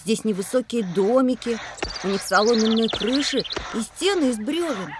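A woman acts out an observant child in an educational audio play. The voice is high, expressive and full of wonder, with birdsong and clear noise behind it. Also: moderate pace, lightly acted. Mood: puzzled, curious. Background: birdsong, outdoor sounds.

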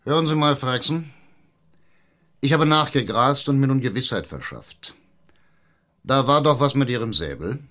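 A man playing a crude, cunning small-town mayor in a radio drama. He speaks with sardonic emphasis and commands everyone around him, in a mid-pitched male voice. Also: animated, moderate pace, pauses often, a bit bright, lightly acted. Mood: stern, interrogating. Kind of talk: German radio drama acting.

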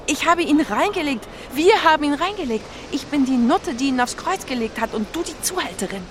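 A woman's voice: high voice, lively intonation, fairly quick, background noise. An actress playing a lovelorn, guilt-ridden, melodramatic woman who talks in an agitated, theatrical way in an audio drama. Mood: guilty, bitter. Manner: agitated, theatrical, self-accusing. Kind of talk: audio drama dialogue acting.

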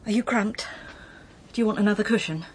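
An actress in a radio drama playing a composed, controlling wife, brisk and soothing, with a slightly breathy voice. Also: female voice, mid-range voice, slight background noise, natural voice. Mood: calm, coaxing. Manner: calm, managing, dismissive.

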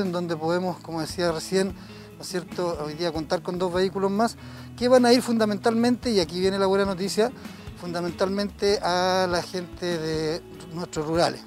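High-voiced Chilean man, halting delivery. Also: a little mellow. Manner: halting, with repeated tag questions. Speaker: town councillor. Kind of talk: unscripted spoken report.

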